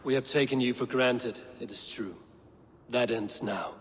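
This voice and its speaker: An actor playing a grave, authoritative high god in a video game, speaking tersely and with command. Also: male voice, a little slow, a little hiss or hum, close to an everyday voice. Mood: grave, threatening. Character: stern, commanding male Norse high god. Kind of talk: dramatic video game acting. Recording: long reverb, faint music behind.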